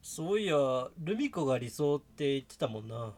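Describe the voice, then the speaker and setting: A man plays a brash young friend in a comic radio drama. He jeers in a fairly high, bouncy voice, with faint music in the background. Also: sing-song, normal speed, darkish tone. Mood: mocking, amused.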